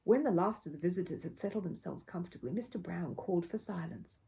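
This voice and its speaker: A woman reading a children's storybook aloud as an expressive narrator. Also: lowish voice, fast talker, gravelly. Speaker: storybook reader.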